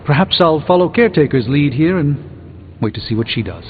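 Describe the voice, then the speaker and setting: An actor playing a thoughtful, weary sorcerer mentor in a video game, speaking in a measured, eloquent way. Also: male voice, on the high side, lively intonation, natural voice. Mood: troubled, resigned. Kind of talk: video game character dialogue. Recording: muffled phone-like band, long reverb, music behind.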